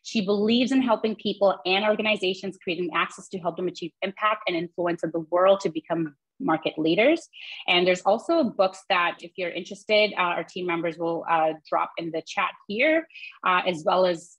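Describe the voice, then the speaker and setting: A woman's voice: lowish voice, normal speed, husky, low-quality recording. A woman from Pakistan hosting an interview over a video call, talking conversationally with many fillers. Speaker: host. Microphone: webcam on a video call.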